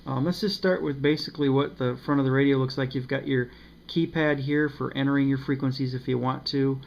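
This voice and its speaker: Hesitant gravelly man reviewing a radio. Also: mid-range voice, fairly even intonation, a little fast. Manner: hesitant, many ums and uhs. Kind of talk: casual product review. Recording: slightly dull with faint noise.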